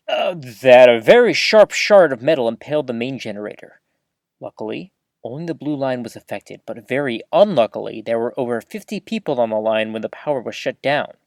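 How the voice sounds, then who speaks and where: Chatty, animated small-town radio host , acted. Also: mid-range voice, no room sound, clean studio sound, natural voice. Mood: upbeat, then concerned. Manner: folksy, chatty, expressive, addresses listeners directly. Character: chatty, earnest novice small-town community radio host, eager and flustered. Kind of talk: scripted audio drama as local radio broadcast.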